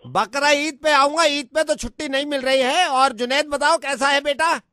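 A man's voice: very high-pitched, normal speed, sharp tone, dry recording. A man voicing an insistent, sentimental, homesick migrant worker in a prank phone call on radio. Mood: fond, needy. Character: pleading, homesick male migrant labourer phoning his wife.

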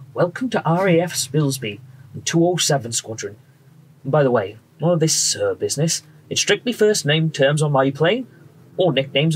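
An actor playing a friendly, assured wartime bomber pilot with a British accent, brisk and reassuring, in a radio drama. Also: male voice, a little high. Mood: genial, welcoming. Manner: brisk, reassuring, polite officer. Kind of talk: period radio drama acting. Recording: noisy aircraft engine background.

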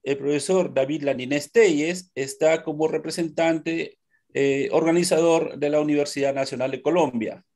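A man's voice: on the high side, fairly even intonation, normal speed, a little mellow. A middle-aged man moderating a live webinar over a video call, introducing speakers with hesitant fillers. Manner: hesitant, listing names with fillers. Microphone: laptop webcam on a video call.

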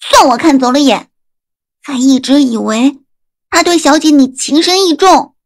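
A woman's voice: sits high, normal speed. A woman playing an earnest, fervent young maidservant, loyal to her mistress, in an audio drama. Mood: indignant.

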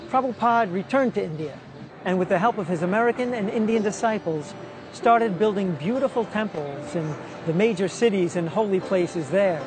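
A man presenting and narrating a documentary in a steady, explanatory way, partly from an outdoor street festival. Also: sits high, moderate pace, noisy background.

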